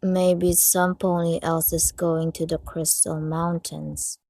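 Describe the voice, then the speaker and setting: A woman voicing a stoic female cartoon pony scientist in a comic dub, blunt and deadpan. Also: lowish voice, takes it slowly, creaky, no room sound, full character voice.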